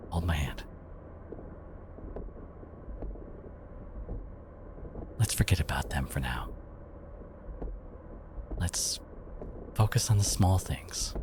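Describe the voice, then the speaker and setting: A man playing a devoted, protective boyfriend in an intimate ASMR audio roleplay, speaking haltingly with sighs and whispers, emotional and tender. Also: low-pitched, background noise. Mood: soft, soothing. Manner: halting, sighing, slipping into breathy whispers.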